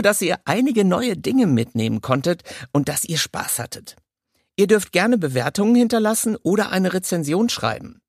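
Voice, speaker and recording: Lively, husky male podcast host. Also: a little high, no room sound, studio quality. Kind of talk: casual podcast hosting.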